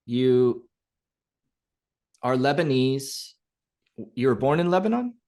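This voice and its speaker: A man hosting a podcast, with a voice of middle pitch. He rambles loosely and lets his sentences fade out quietly. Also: expressive, slow pace. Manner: rambling, many fillers and restarts, trailing off softly. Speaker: podcast host. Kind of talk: interview conversation.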